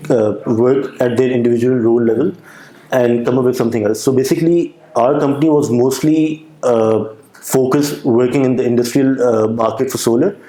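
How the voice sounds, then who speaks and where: A young man with a Pakistani accent, answering interview questions with a hesitant manner, full of pauses and restarts. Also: medium pitch, fairly even intonation, mellow, echoey room, low-quality recording. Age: young adult. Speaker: guest entrepreneur.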